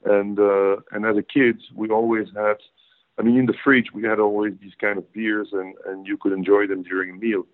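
A man's voice: fairly low pitch, flat delivery, fairly quick. A middle-aged man with a Belgian accent, talking conversationally as an interview guest and explaining things with repeated phrases for emphasis. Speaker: interview guest, brewing and hops professional. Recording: tinny phone-like band-limited line.

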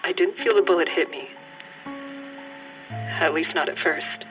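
A woman playing a grieving mother who survived a shooting, giving halting, deliberate testimony in an audio drama. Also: lowish voice, far from the mic, over music, close to an everyday voice. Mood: somber, reflective. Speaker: actor in a fiction podcast.

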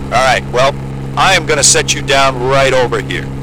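A man acts out a down-to-earth helicopter pilot in a naturalistic audio drama. He is plain-spoken and wryly joking, with an average-pitched male voice. Loud cabin engine noise hums steadily behind him. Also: moderate pace, lightly acted. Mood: casual, advising.

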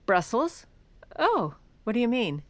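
A woman's voice: fairly high pitch, very animated, a little slow. An actress playing a proud, scheming first lady in a comic audio drama, wry and given to muttered asides. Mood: defensive. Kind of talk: audio comedy drama, dialogue and first-person narration.

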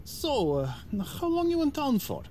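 Nervous, animated Russian ex-crook. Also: male voice, extremely high pitch, normal speed, a little hiss or hum, exaggerated voice. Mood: evasive, pleading. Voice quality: thickly accented broken English. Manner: stammering, jokey, evasive. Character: nervous joking Russian ex-crook insisting he has gone legit. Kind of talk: audio drama acting.

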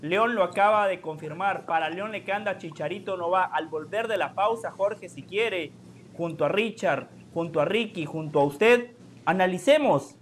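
A man's voice: high voice, normal speed, slight background noise. A man speaking as a sports show panelist, emphatic and confident. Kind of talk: sports talk.

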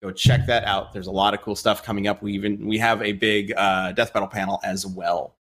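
A man's voice: fairly low pitch, flat delivery, a little fast, a bit bright. A man hosting a livestream, chatting casually, teasing his co-host and reading viewer questions. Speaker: host of a web show.

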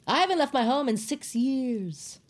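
A woman playing an eccentric, bitter, reclusive novelist with a grand, deliberate, wordy manner in an audio drama. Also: high-pitched, expressive. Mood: defiant. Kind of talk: scripted audio drama acting.

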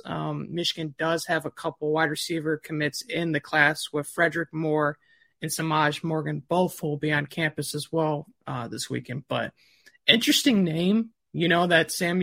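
Midwest guy on a recruiting podcast, chatty, bright and up-close dry. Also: a little high, steady tone, normal speed, creaky, dry recording. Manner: chatty, many fillers like "you know". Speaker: recruiting reporter. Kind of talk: casual sports talk.

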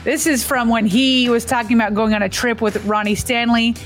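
A woman co-hosting a sports talk show with a brisk, news-style delivery. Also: on the high side, steady tone, soft background music. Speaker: sports podcast co-host.

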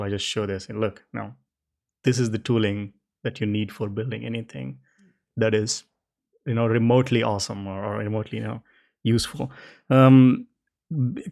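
A man hosting a podcast, talking casually and haltingly on a livestream. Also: medium pitch, gravelly, darkish tone. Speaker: podcast host.

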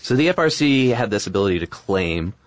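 A man's voice: on the low side, sing-song, brisk. A man co-hosting a podcast, explaining things in a casual, conversational way with asides. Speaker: podcast co-host.

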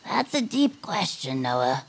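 A woman's voice: low voice, very animated, slow pace, vocal fry, exaggerated voice. A woman voicing a spirited, headstrong young peepkin inventor in a chatty, quirky audio comedy. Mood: resigned, dry. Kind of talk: audio fiction cartoon-like comedy acting.

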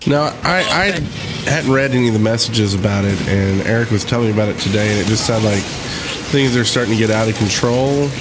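A man's voice: fairly low pitch, brisk, background noise. A man hosting a podcast in casual gaming chat, with dry, playful sarcasm. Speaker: podcast host. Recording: internet call with game sound behind. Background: music and game sound effects.

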